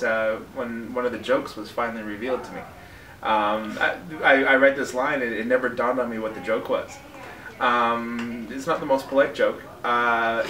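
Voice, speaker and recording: A man's voice: medium pitch, pushed and bright. A man who directs theatre, chatting casually and self-deprecatingly in an unscripted interview. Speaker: first-time theatre director being interviewed. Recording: roomy echo, distant microphone.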